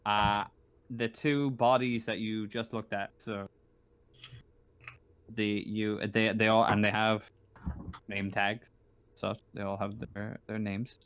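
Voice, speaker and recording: A man running a tabletop roleplaying game as game master, thinking aloud in a halting way as he improvises. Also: on the low side, flat delivery, a bit bright, clean tone, no echo. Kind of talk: narrating and refereeing a tabletop game.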